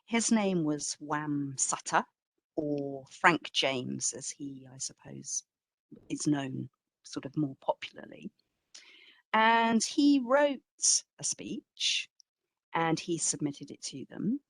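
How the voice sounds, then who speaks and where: Low-voiced woman speaker, measured and slow. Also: expressive, vocal fry, no room sound. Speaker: exhibition curator, guest speaker. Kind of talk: answering an audience question in an online talk.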